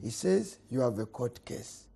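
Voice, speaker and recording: A middle-aged Ugandan man argues his point on a political talk show, his husky voice swinging widely up and down. He hammers words by repeating them and lets each phrase sink low at its end. The tone is mellow and dark. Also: animated, unhurried. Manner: emphatic, repeats words, voice drops at phrase ends. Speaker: politician guest. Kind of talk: heated political panel debate.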